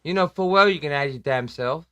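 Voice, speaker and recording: A man chats on his live stream with a bright, forceful, slightly high voice. He jokes, teases, swears casually and puts himself down. The sound is close and dry. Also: a little fast, smooth, no room sound. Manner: joking, self-deprecating, swears casually. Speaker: livestream host. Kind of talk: casual livestream chat.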